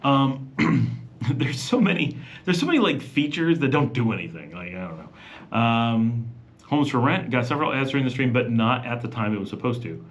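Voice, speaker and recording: A man's voice: medium pitch, animated, moderate pace. A man hosting a casual evening livestream from home, chatting loosely and reading viewers' comments aloud. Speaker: livestream host. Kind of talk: casual live Q&A chat.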